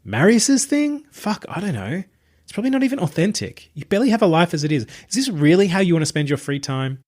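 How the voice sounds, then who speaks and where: Man voicing a sardonic young woman student, pitched up. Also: big pitch swings, quick pace, darkish tone. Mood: incredulous, scornful. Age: young adult. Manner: dry, grumbling, blunt. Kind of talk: audiobook character voice.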